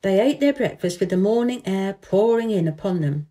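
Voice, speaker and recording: A woman narrates a children's story in an expressive storytelling style. Her voice is mellow and soft, set at a middle pitch. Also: normal speed.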